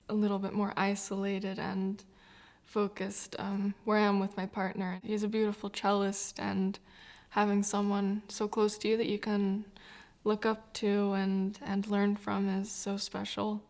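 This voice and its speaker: A woman's voice: medium pitch, darkish tone. A woman in her twenties, a violinist raised in the United States, speaking reflectively in a documentary interview and laughing often. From New Zealand, raised in the United States. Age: 20s.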